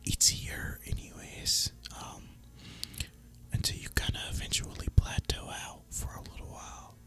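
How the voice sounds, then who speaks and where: A man whispers throughout, breathy and crisp, close to the microphone. He rambles casually like an ASMR gaming host, with an easy, relaxed delivery and a bright, hissy edge to the whisper. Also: unhurried.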